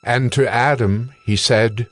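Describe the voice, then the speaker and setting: A man narrating scripture from a script in a measured, solemn manner. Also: medium pitch, steady tone, a bit bright, no room sound, faint music behind.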